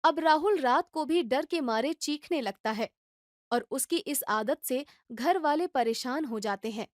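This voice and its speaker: A woman narrates a children's storybook in a steady, plain way. Her voice is high, and the recording is dry and close. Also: a little slow, no echo.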